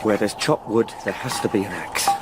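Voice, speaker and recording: An actor playing an uneasy, educated solicitor in a gothic radio drama, with measured, articulate, old-fashioned speech. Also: male voice, on the low side, heavy background noise, natural voice. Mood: alarmed. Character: uneasy, educated male solicitor recounting a haunting.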